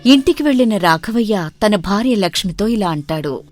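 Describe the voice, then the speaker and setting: A woman's voice: medium pitch, expressive, normal speed, faint music behind. A woman narrating a scripted moral story as its storyteller.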